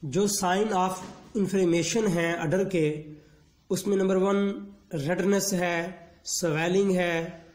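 A man, a veterinarian giving a measured instructional lecture that mixes with English technical terms. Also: high voice, fairly even intonation, darkish tone, echoey room. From Pakistan. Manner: slow, measured explanation mixing Urdu and English terms. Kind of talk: instructional lecture on livestock disease.

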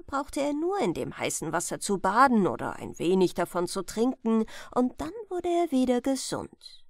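Woman reading a bedtime fairy tale, real expressive, bit of creaky fry, slow. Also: mid-range voice, no room sound, clean studio sound. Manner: expressive, dramatic storytelling. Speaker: audiobook narrator.

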